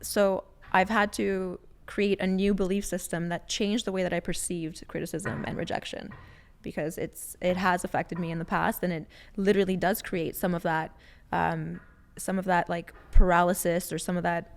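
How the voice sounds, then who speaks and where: Female podcast co-host, slightly low voice, informal, animated, thinking out loud in relaxed conversation. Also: moderate pace, gravelly. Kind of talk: casual unscripted podcast chat.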